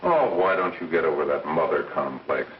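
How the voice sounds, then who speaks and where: A man's voice: extremely low pitch, sing-song, moderate pace, a little hiss or hum. An actor playing a brusque, shady delivery man who lures kids, in slangy 1930s film style. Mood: irritated, brusque. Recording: muffled, distant, echoey 1930s film soundtrack.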